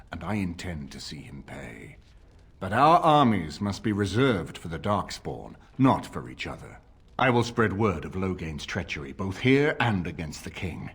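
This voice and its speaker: An actor playing a grave, authoritative middle-aged lord in a video game, speaking in a formal, measured way with a husky voice. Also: male voice, deep voice, heavily acted. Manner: formal, measured, stately delivery. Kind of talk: dramatic video game voice acting.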